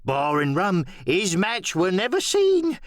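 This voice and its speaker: A man voicing a wily, anxious, half-crazed old marooned pirate with drawn-out, rambling sailor talk, in an audiobook play. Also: sits high, huge pitch swings, dry recording, studio quality, pitched above the performer's own voice, exaggerated voice. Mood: excited, confiding. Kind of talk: audiobook radio play acting.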